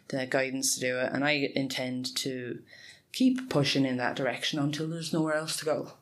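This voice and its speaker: A woman's voice: very deep voice, flat delivery, moderate pace. Earnest woman in her forties, laughing often. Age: 40s. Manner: earnest, frequent laughs, self-correcting. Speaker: guest, tech support lead. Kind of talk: conversational interview answers.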